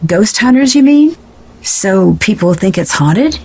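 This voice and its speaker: A woman's voice: mid-range voice, sing-song, a little slow, a little mellow, breathy edge, full character voice, low-quality recording. An actress playing a confident, inquisitive young woman detective in a video game, polite, direct and probing. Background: faint music.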